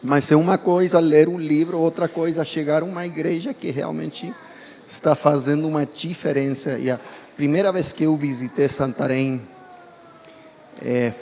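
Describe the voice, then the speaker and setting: A man's voice: on the high side, a little mellow, slight background noise. Man preaching in a church hall. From United States. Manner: conversational, asks the congregation questions. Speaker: guest preacher. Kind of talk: sermon.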